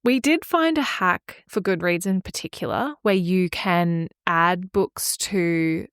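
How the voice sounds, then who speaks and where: Female podcast co-host, lowish voice, chatty and self-mocking, recorded dry with little room. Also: takes it slowly, a bit bright, clean tone, no echo. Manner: informal, self-mocking, acts out imagined quotes. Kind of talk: casual book podcast chat, reading out listener poll results.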